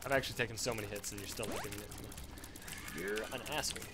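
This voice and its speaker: A man's voice: on the low side, fairly even intonation, racing. Rambling young man gaming, hiss behind. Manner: rambling, self-deprecating, swears at the game. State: sore throat. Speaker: let's play commentator. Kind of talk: casual gameplay commentary.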